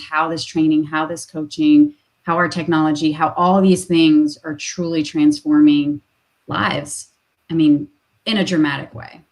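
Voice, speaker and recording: A woman's voice: low-pitched, a little mellow, low-quality recording. A woman talking business as a guest on a video call, upbeat and full of fillers, repeating her phrases for emphasis.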